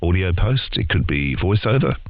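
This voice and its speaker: A man with an Australian accent and an extremely deep voice talks in a loose, rambling conversational style. The audio is dull and narrow, with loud noise and clicks over it. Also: sing-song, normal speed. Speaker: interview guest, podcast co-host in voiceover and audio. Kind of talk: casual conversational interview.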